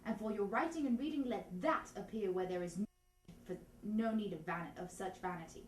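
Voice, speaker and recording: A woman's voice: mid-range voice, fairly quick, close to an everyday voice. Woman acting a self-important constable, Shakespearean comedy, declamatory, funny put-on accent, distant microphone, background noise. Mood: pompous, emphatic. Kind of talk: Shakespearean comedy, theatre audition reading. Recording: roomy, distant, noisy room recording.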